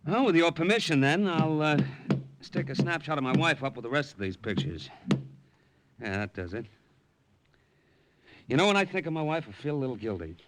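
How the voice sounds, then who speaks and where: An actor playing an earnest American army captain and secret agent, steady and plainspoken, in a 1950s radio drama. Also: male voice, fairly high pitch, normal speed, long pauses. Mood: relaxed, confiding. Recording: old radio recording, faint noise.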